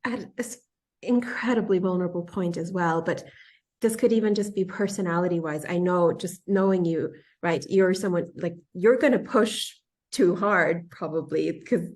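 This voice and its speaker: A woman in her thirties, a yoga teacher interviewing a friend, telling stories in a casual, animated way and often laughing. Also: fairly low pitch, normal speed. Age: 30s. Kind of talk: casual conversational storytelling.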